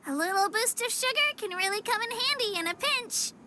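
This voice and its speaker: A woman's voice: very high-pitched, expressive, brisk, pushed and bright, slight background noise, exaggerated voice. A young woman playing an upbeat, helpful girl guide in a video game, speaking in a friendly, chatty, eagerly explaining way. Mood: cheerful, friendly. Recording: music behind the voice. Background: game music.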